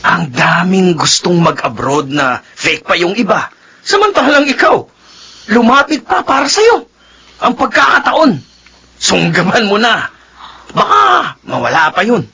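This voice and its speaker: A man's voice: high-pitched, very animated, a little slow, gravelly, bright, forceful tone, breathy edge, heavily acted. A middle-aged man playing a careless, pushy husband in a radio drama, emphatic and insistent.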